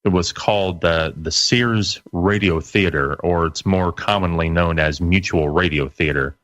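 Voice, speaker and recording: A man with a very low voice chats as a guest on a radio show. He speaks casually and haltingly, thinking aloud, with a dry sound. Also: a bit bright, no echo. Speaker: interview guest, internet radio broadcaster. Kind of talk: casual conversational recollection.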